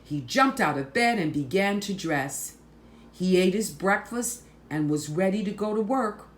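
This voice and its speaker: A woman reading a picture book aloud to children, phrasing deliberately and stressing words for drama. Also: medium pitch, expressive. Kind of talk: slow, expressive picture-book read-aloud.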